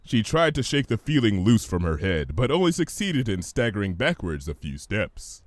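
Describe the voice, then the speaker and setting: Animated male storyteller, dry close sound. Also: fairly low pitch, moderate pace, no echo. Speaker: fan fiction reader, narrator. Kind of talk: expressive scripted story narration.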